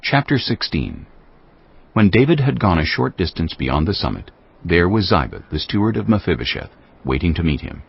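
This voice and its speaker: A man's voice: low-pitched, sing-song, moderate pace, gravelly, slightly rough. A man reading scripted scripture as the narrator of an audio Bible. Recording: dull lo-fi audio with noise.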